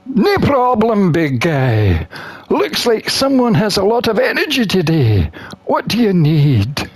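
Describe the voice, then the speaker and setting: Fantasy game elf, male, sarcastic flirt, slow teasing delivery with big pitch swings acted by a gamer. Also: wildly swooping pitch, breathy edge, dry recording, exaggerated voice. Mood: teasing, flirty. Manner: teasing, drawling, passive-aggressive.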